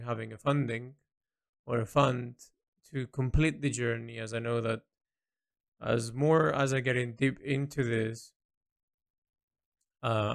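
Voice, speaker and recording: A man recording a reflective spoken diary, speaking earnestly and haltingly as he searches for his words. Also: medium pitch, vocal fry. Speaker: artist keeping an audio log.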